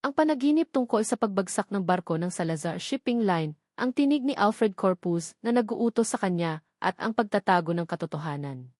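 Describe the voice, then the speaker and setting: A Filipino woman narrates a scripted drama as a storyteller. Her voice sits at a middling pitch, and the sound is very dry, with hardly any room in it. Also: expressive, normal speed, a little mellow, no echo.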